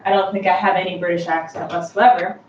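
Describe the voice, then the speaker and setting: A woman's voice: lowish voice, little pitch movement, quick pace, dull audio. A woman giving a casual, chatty, unscripted baking how-to, explaining each step as the host with light self-deprecation. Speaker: host of a home baking tutorial. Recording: roomy, distant mic with clear background noise.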